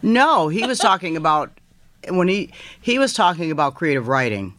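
Deep voiced woman in her 50s, author guest chatting, lively retelling, dry mic. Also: moderate pace, no echo. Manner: animated, quotes others in lively voices, laughs while telling. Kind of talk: casual storytelling interview.

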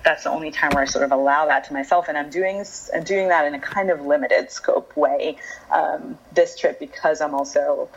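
Low husky woman, thoughtful and halting. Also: steady tone. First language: Hebrew. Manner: thoughtful, hesitant, many ums and restarts. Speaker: author being interviewed. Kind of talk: reflective conversational interview. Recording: dull lo-fi remote audio.

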